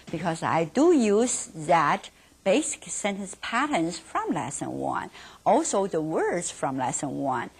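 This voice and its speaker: A middle-aged woman with a Mandarin Chinese accent teaching a beginners' Mandarin lesson in English, repeating words slowly and asking and then answering her own questions. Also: fairly low pitch, slightly rough. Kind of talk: slow teaching instruction with drills.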